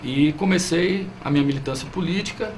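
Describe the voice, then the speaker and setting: A man's voice: a little high, fairly quick. A man from Bahia with a Brazilian accent, answering as a political interview guest in hesitant phrases with frequent tag questions. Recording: clear background noise.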